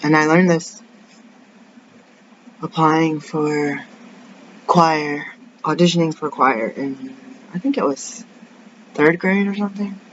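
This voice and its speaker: A woman with a raspy, deep voice rambles through a casual vlog, drifting from one tangent to the next as she vents. The recording is dull and lo-fi, with a faint hiss underneath. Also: steady tone, takes it slowly, long pauses. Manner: rambling, tangent-prone, venting. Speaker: vlogger. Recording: dull lo-fi sound with faint noise.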